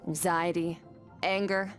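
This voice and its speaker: Stern female fighter-teacher for a fantasy game: lowish voice, aloof, measured, music underneath. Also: level tone. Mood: stern, detached. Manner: measured, detached, matter-of-fact. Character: stoic, aloof female warrior mentor, stern and cool. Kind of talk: video game character dialogue.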